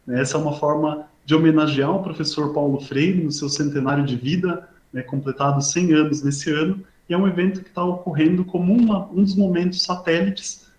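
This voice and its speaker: A Brazilian man opens an online academic event as its host, speaking in a slightly high voice. His delivery is semi-formal and courteous, peppered with small verbal tags. The sound is roomy and echoing. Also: fairly even intonation, fairly quick, few pauses, darkish tone. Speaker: host, university professor introducing an event. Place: indoors, online video call.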